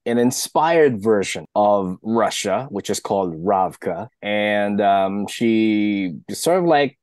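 A man with a slightly croaky voice, guest hosting a podcast in an upbeat, chatty way. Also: lowish voice, expressive, slow pace, darkish tone, no echo, studio quality. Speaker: guest host, author. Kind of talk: casual podcast chat.

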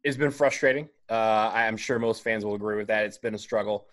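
A man's voice: lowish voice, brisk. A man talking as a podcast guest over a video call, earnest and rambling, often restarting his sentences. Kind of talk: casual chat.

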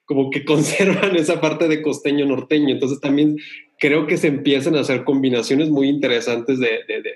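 Hesitant, rambling man from Sonora. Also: mid-range voice. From Sonora, Mexico. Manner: rambling, hesitant, many restarts and fillers. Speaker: podcast co-host. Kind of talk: casual chat.

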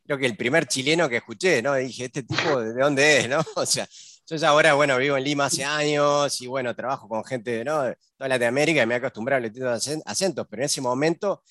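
Male Uruguayan consultant guest, mid-pitched, relaxed chat with many fillers, dry mic. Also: quick pace, dry recording. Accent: Uruguayan Spanish. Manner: chatty, with frequent fillers and tag questions. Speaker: guest, agile business consultant. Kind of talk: casual conversational interview.

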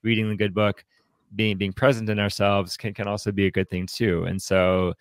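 A man co-hosting a podcast in casual, reflective discussion, speaking haltingly and often repeating words as he restarts. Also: fairly low pitch, flat delivery, smooth, no echo. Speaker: podcast co-host.

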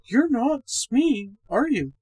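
A woman's voice: sits high, very animated, slow, warm tone, slightly rough, no room sound, voice pushed up, full character voice. A woman voicing a friendly, chatty young woman who is uneasy but bold, in a ghost story. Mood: whispered, friendly. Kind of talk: ghost story character acting.

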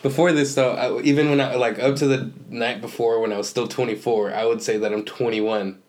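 A man's voice: medium pitch, fairly even intonation, fairly quick, a little mellow. A man in his twenties chatting casually as a podcast host, informal and prone to swearing. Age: 20s. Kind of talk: casual chat.

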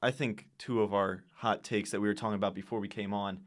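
A young man hosting a casual sports podcast, introducing segments in a measured way, with a touch of sarcasm. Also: lowish voice, steady tone. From Arizona. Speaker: podcast host.

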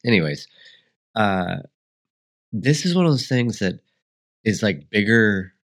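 A man's voice: fairly low pitch, expressive, slow pace, a bit bright. A man hosting a solo podcast, congested and sniffly, talking in a rambling, reflective way with frequent restarts. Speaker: podcast host.

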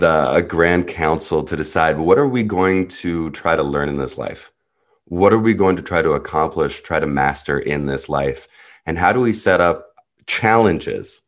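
A man, a native Hebrew speaker who grew up in the US, telling his life story as a podcast guest in an earnest, reflective and blunt way. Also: a bass voice. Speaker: podcast guest, personal guide. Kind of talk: candid personal storytelling.

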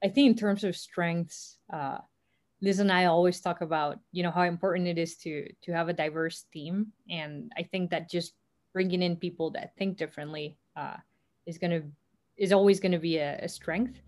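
A young woman from Costa Rica, answering interview questions thoughtfully, with frequent pauses and restarts. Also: fairly low pitch, fairly even intonation, a little mellow. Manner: thoughtful, many fillers and restarts. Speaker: guest.

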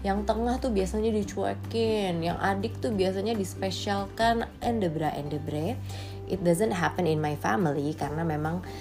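A woman's voice: mid-range voice, normal speed, over music. A woman in her late twenties from Indonesia giving a casual, candid monologue, blunt and slangy, with English phrases mixed in. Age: late 20s.

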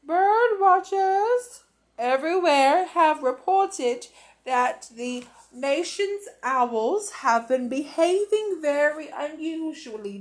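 A woman doing a measured TV newsreader. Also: high voice, full character voice. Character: formal male TV newsreader.

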